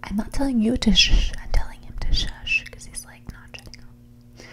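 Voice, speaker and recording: A woman playing a snobby, dismissive rich young woman in a whispered and soft-spoken ASMR roleplay, condescending and ditzy, saying "like" often. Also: fairly high pitch, wildly swooping pitch, normal speed, voice pushed up, exaggerated voice. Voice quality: breathy whisper mixed with creaky soft speech. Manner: ditzy, condescending, hesitant delivery full of "like".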